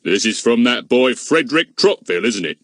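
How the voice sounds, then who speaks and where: A man's voice: medium pitch, sing-song, moderate pace, fairly bright tone, no room sound, clean studio sound, voice pushed up. A man putting on a blustering pompous village policeman. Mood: scornful. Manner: blustering, scolding. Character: pompous, irritable middle-aged village policeman.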